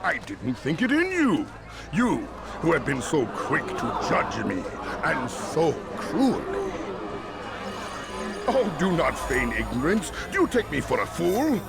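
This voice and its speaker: An actor playing a decadent, resentful merchant lord in a video game, with grandiose, mock-courteous oratory and a creaky voice. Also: male voice, on the high side, wildly swooping pitch, slow pace, over loud music, a loud crowd, exaggerated voice. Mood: wounded, indignant. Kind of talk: dramatic video game cutscene acting.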